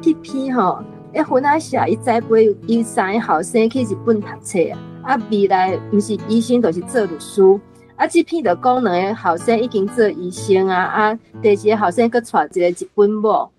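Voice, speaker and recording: A woman's voice: medium pitch, normal speed, a little mellow, faint music behind. A woman with a Taiwanese accent discussing a book in an orderly, explanatory way as a panelist putting questions. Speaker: reading-club panelist posing questions.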